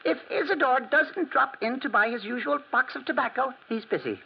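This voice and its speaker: A man's voice: extremely high pitch, moderate pace, vocal fry, breathy edge, exaggerated voice. An actor playing a tiny, nosy male gossip who stammers and repeats himself in 1940s radio style. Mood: nosy, excited. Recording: muffled, noisy 1940s radio recording.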